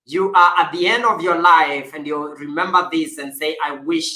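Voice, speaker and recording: A man speaks as a life coach, earnest and motivational, pushing his listeners toward action. His voice is bright and forceful. The sound carries a noticeable room echo. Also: on the high side, normal speed. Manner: earnest, motivational, with emphatic exhortations. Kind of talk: coaching conversation.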